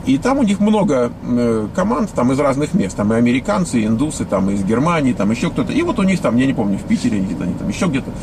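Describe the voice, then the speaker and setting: A man's voice: mid-range voice, very animated, fast talker, hardly any pauses. A man in his fifties from the Soviet Union with a Russian accent, giving a blunt, scornful monologue to viewers, full of hesitations. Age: 50s. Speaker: vlogger. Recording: loud outdoor traffic noise.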